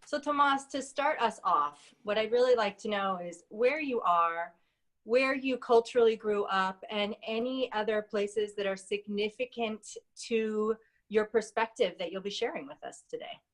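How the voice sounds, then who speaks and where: A woman hosts an online interview over a video call, her voice at an ordinary mid pitch. She sounds gracious and gently encouraging as she welcomes and prompts her guest. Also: normal speed. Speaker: summit host, interviewer.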